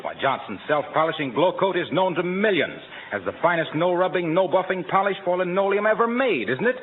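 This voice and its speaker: Hearty, animated radio pitchman. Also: brisk, slight background noise. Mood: persuasive, cheery. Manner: hearty, smooth-talking. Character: cheery, chummy salesman friend of the couple. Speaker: announcer. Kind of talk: 1940s radio comedy acting and sales pitch. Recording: tinny vintage radio recording.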